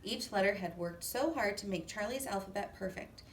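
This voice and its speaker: A woman reading a children's story aloud in an expressive, deliberate way. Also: mid-range voice. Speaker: storybook reader. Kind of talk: children's read-aloud narration. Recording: echoey room, distant mic, faint noise.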